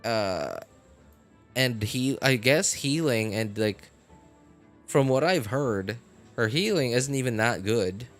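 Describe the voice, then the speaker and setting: A man talking casually on a game live stream, rambling with many fillers and getting animated about his opinions. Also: mid-range voice, slow, long pauses, soft background music. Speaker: gaming streamer.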